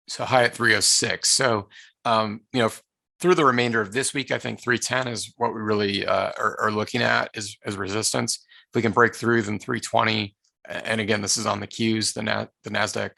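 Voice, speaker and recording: A man with a hoarse, tired voice presenting a live online market-analysis session, speaking haltingly with many filler sounds and restarts. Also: fairly low pitch, sharp tone, no room sound. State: hoarse, losing his voice.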